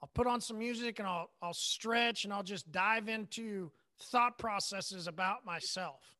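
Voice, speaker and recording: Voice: medium pitch, measured pace, sharp tone. A podcast host in casual chat, asking probing questions with lots of fillers like "you know" and "right".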